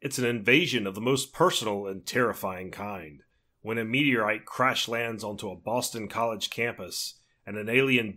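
A man's voice: on the low side, pushed and bright. A man co-hosting a casual horror podcast, eager and reading out the listings. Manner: enthusiastic, reads out listings. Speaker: podcast co-host. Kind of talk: casual chat reviewing horror releases.

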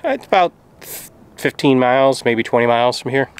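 A man's voice: medium pitch, expressive, normal speed. A middle-aged man who makes RV travel videos, chatting casually to the camera outdoors. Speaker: RV travel vlogger. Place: outdoors at a campground. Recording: clear outdoor background noise.

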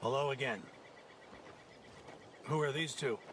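An actor playing a pompous, condescending army colonel who is easily riled, in a dramatic video game. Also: male voice, medium pitch, noisy background, natural voice. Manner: pompous, halting and dismissive.

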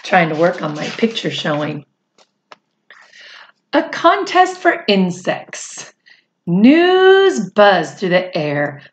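A woman's voice: fairly low pitch, slight background noise. A middle-aged woman reading a picture book aloud to children expressively, with chatty asides. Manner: expressive, drawn-out delivery addressed to young children. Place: small indoor room at home.

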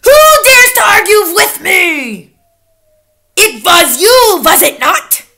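A raging bossy witch for a kids book, super high and screamy, drags words out. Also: very animated, sharp tone, heavily acted. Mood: outraged. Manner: screaming, imperious, drawn-out menacing delivery. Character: furious tyrannical female Grand High Witch.

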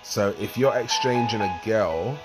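Low-voiced croaky man explaining a game. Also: a little slow, over loud music, rough recording. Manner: rambling, explains step by step with fillers. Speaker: gaming channel host. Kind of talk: casual game tutorial walkthrough.

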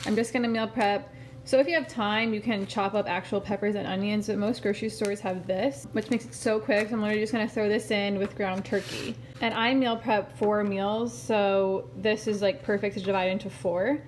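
A woman's voice: mid-range voice, steady tone, a little fast, a little hiss or hum. A young woman in her twenties chatting casually to a phone camera held at arm's length in a kitchen, with lots of "like" and fillers. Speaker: vlogger. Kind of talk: casual vlog chat. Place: kitchen of a family home.

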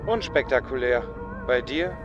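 A man as an anxious, withdrawn recluse in a radio play. He speaks flatly and hesitantly, with music behind him. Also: mid-range voice, measured pace, highs cut off, close to an everyday voice. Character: withdrawn, anxious, ailing brother living in cyberspace. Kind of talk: German audio drama acting. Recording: faint music bed.

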